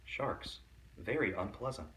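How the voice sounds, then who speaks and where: A man reading a children's picture book aloud as a storyteller, in an expressive storytime style. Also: fairly low pitch, unhurried, distant mic, noisy background.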